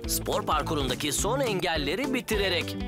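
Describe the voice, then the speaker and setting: A man's voice: sits high, sing-song, fairly quick. A man voicing a friendly, reassuring builder who leads his team in a children's cartoon. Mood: encouraging. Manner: encouraging, explaining. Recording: loud music behind.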